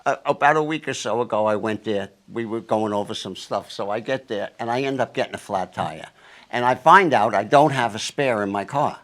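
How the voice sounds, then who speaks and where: A man from New Jersey, a guest musician, telling a story in quick, animated bursts. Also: on the low side. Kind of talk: casual storytelling.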